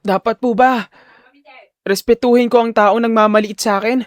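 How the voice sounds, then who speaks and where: A man voicing a determined, defiant male high school student in an audio drama, speaking firmly and formally. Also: fairly even intonation, moderate pace, no echo, studio quality. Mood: firm, respectful. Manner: firm, formal, respectful but challenging.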